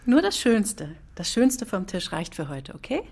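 A woman's voice: mid-range voice, sing-song, a little slow, creaky, a little mellow, husky, full character voice. An actress playing a weary but loving mother in a German children's radio play. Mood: gentle.

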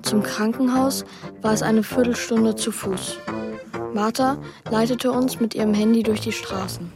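A woman's voice: fairly high pitch, fairly even intonation, measured pace, music in the background. A woman playing an anxious, sceptical young boy who acts and narrates in a children's radio play, sounding hesitant. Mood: reflective narrating. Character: anxious, doubting young boy, narrating his runaway adventure.

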